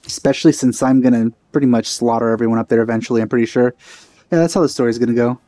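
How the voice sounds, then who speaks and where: A man's voice: mid-range voice, fairly even intonation, racing, mellow, dry recording. A man commentating casually on a video game, making offhand, muttered asides as he plays. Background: faint game music.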